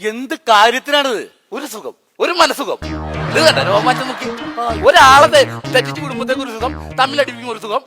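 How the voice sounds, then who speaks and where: Man as very high-pitched gloating mischief-maker. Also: brisk, music in the background. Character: gleeful mischief-maker who enjoys setting people fighting.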